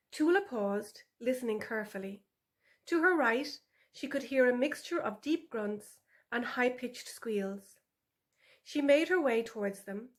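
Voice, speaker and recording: A woman author reading her animal story for older children as scripted narration. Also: fairly high pitch.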